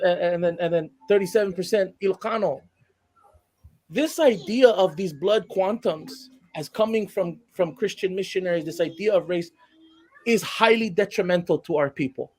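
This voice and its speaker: A man's voice: sits high, a little mellow. Earnest Hawaiian man on a live panel. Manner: earnest, builds to passionate emphasis. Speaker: panel guest.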